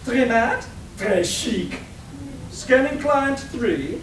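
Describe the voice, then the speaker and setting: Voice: medium pitch, lively intonation, extremely slow. A performer voicing a haughty, theatrical robot tailor with French fashion airs in a comic radio play, pompous and affected. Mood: disdainful, flamboyant. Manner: pompous, dramatic, sprinkled with French phrases. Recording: roomy distant live recording with background noise.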